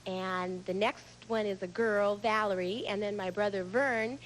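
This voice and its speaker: A woman's voice: medium pitch, fairly even intonation, unhurried, a little hiss or hum, low-quality recording. A young woman from Northern California, a game show contestant who often restarts her sentences. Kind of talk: casual chat and answering questions.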